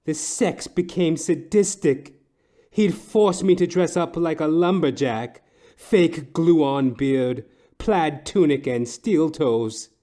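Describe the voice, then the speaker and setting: A man's voice: fairly high pitch, slow, mellow. A man playing a bitter, haughty middle-aged wealthy wife with pompous, theatrical old-fashioned diction in a noir audio comedy. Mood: indignant.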